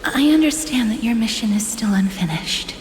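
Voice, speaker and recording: A woman playing a gentle, solemn young guardian in a video game, speaking softly, formally and earnestly. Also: a little high, animated, slight background noise, lightly acted. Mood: tender, solemn. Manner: soft, formal, unhurried, earnest. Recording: echoey reverberant hall, music behind. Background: music.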